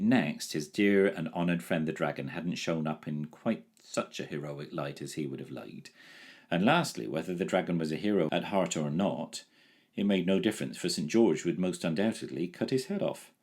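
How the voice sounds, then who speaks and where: Male teacher, deep voice, lively storybook narration. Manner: expressive, clear. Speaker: teacher reading aloud. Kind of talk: storybook read-aloud.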